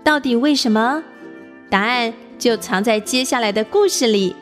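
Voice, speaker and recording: A woman hosting a children's nature story show, animated and putting questions to young listeners. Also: a little high, normal speed, faint music behind.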